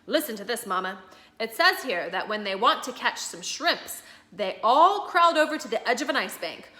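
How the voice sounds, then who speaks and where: A woman's voice: a little high, pushed and bright, echoey room. A woman reading aloud, voicing a cheerful, dreamy man who talks eagerly. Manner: eager, enthusiastic.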